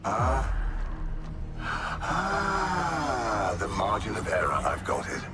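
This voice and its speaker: A man playing an arrogant, coldly grandiose alien mastermind in a video game, speaking in a theatrical, deliberate way. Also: lowish voice, wildly swooping pitch, over loud music, heavily acted. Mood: fascinated. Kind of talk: dramatic video game villain monologue.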